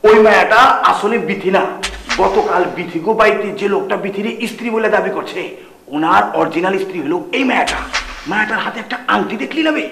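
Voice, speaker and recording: An actor playing a blunt, streetwise young sidekick in a TV drama, with a gravelly voice and an Old Dhaka accent. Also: male voice, high voice, fast, a bit bright. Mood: urgent, explaining. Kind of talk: TV drama acting. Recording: echoey room with faint noise.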